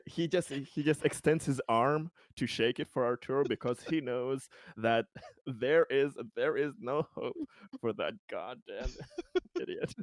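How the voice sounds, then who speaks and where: Male tabletop gamer. Takes his time speaking, medium pitch, casual bantering delivery. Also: a little slow, dry recording. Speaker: tabletop game player.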